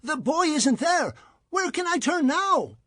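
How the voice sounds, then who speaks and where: An actor playing an earnest biblical shepherd in a dramatized scripture reading, with a gravelly, raspy voice. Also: male voice, very high voice, sing-song, a little slow, a bit bright, no echo, heavily acted. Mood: anguished. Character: earnest, pleading brother of biblical shepherd family.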